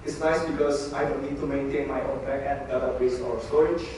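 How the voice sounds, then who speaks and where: A man's voice: medium pitch, fairly even intonation, a little fast, darkish tone, slight background noise. A young man from Indonesia giving a meetup talk as a front-end engineer, explaining things in a friendly, plain way with frequent ums and uhs. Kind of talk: conference presentation. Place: large room at a company meetup. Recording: echoey hall, mic far off.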